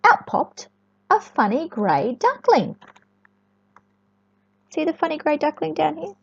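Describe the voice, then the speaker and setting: A woman reading a storybook to young children in an expressive, sing-song way, often chatting and asking the listener questions. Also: sits high, takes it slowly, vocal fry. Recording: echoey room, dull lo-fi sound.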